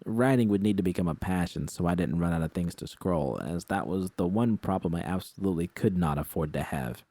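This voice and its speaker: Dark, deep-voiced male story narrator. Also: a little fast, slightly rough, no room sound. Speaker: audiobook narrator. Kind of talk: scripted first-person fiction narration.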